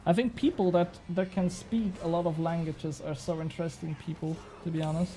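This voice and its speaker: Young swiss guy streaming, swiss german native, bit high voice, rambly and self-mocking, swears and laughs a lot. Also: steady tone, fairly quick, mellow, slight background noise, rough recording. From Switzerland. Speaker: game streamer. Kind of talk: casual live-stream chat while gaming.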